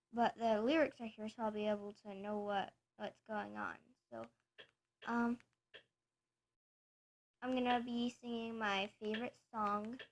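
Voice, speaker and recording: A woman's voice: on the high side, fairly even intonation, unhurried, long pauses, a little hiss or hum, poor audio. A woman vlogger chatting casually to her viewers, rambling and correcting herself as she explains things. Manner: rambling, self-correcting, soft-spoken. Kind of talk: casual chat to camera.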